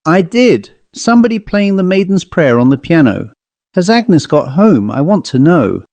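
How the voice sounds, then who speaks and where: A man's voice: a little high, very animated, normal speed, creaky, darkish tone, no echo, voice pushed up. An anxious, insistent woman from a storybook, acted out by a man reader. The voice is lifted well above the reader's own and presses each question with urgent emphasis. The recording is dry and close. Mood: frightened insistence. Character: anxious, insistent woman searching for her missing niece. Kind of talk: dramatised storybook reading.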